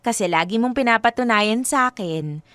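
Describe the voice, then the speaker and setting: Bubbly, high-voiced devoted young girlfriend. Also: expressive, moderate pace, fairly bright tone, dry recording. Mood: reassuring. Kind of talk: Filipino radio drama acting.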